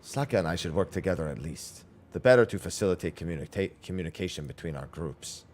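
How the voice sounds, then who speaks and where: A man's voice: low-pitched, expressive, fast, a little mellow. A man reading out the lines of earnest, formal fantasy game adventurers in archaic phrasing. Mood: grave, resolute. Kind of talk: reading game dialogue aloud.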